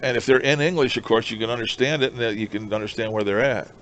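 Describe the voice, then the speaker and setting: A man's voice: medium pitch, fairly even intonation, fast talker, background noise. A man chatting casually in a folksy, rambling way as he explains his hobby. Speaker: shortwave radio hobbyist presenter.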